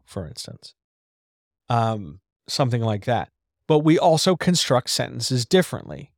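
Male host, mid-pitched, chatty and unpolished, teaching writing on a dry close mic. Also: a little mellow, no echo. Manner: conversational, loose, with fillers and asides. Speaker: host of a writers' chat. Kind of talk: casual live-stream teaching chat.

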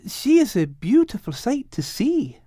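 A man voicing a timid, soft-hearted greedy tomcat with a simple, plaintive manner in an audiobook. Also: very high-pitched, big pitch swings, unhurried, no echo, studio quality, voice pushed up, heavily acted. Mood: sorry, smitten. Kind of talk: animated audiobook character reading.

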